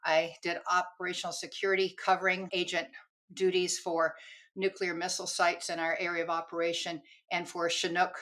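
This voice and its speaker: An older woman speaks as a podcast guest, recounting her years in army counterintelligence with lively storytelling and easy laughter. Her voice sits somewhat low for a woman. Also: brisk. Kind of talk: telling her career story in an interview.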